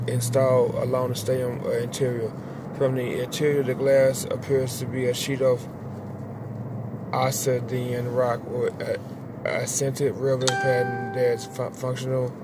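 A man in his forties reading a newspaper article aloud in a parked car, halting and restarting words, with his own asides. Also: medium pitch. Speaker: vlogger reading the news aloud. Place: inside a parked car at dusk. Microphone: phone held at arm's length. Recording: phone audio with clear car and road noise.

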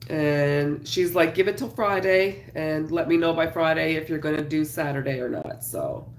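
A woman in her thirties with a cold, her voice low, raspy and gravelly from a numbed throat. She chats slowly and casually with her livestream viewers, greeting them by name. Her webcam picks up a roomy home room with a faint hiss behind her. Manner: chatty, greets viewers while crocheting. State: congested, sore throat. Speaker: craft livestream host. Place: small home craft room, indoors.

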